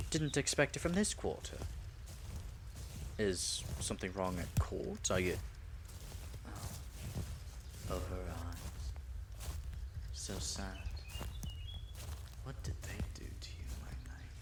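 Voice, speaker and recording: Proud, secretly smitten dragon in human form , male actor; low voice, unhurried theatrical delivery with halting asides, audio drama, echoey room. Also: background noise. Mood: surprised, concerned. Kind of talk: dramatic audio roleplay monologue.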